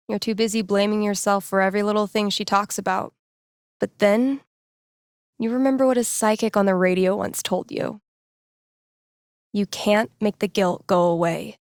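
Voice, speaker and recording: An actress playing a wry, playful young radio DJ who tells fortunes to callers in a conversational, mock-solemn way in a video game. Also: female voice, mid-range voice, no room sound, clean studio sound, lightly acted. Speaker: radio host. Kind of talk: video game dialogue as late-night radio call-in show.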